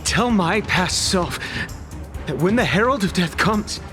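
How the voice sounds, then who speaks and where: A man's voice: sits high, animated, music in the background. An actor playing a bitter, defiant young male squad leader in a video game, with theatrical, emphatic delivery. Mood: bitter, accusing. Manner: slow, emphatic, declamatory delivery. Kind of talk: dramatic video game voice acting. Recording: dramatic game score behind the voice.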